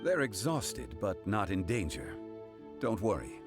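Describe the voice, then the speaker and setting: Dignified immortal gentleman, game role: low-pitched, composed, formal, measured delivery. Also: very animated. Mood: composed, matter-of-fact. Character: ancient former god living as a calm, dignified gentleman. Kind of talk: video game voice acting. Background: music behind the voice.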